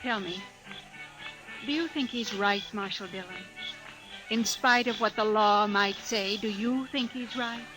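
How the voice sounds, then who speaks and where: An actress playing an earnest, strong-willed American frontier wife in an old radio western, composed and direct. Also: female voice, medium pitch, a little slow, natural voice, poor audio. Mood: worried, earnest. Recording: old radio broadcast with music behind.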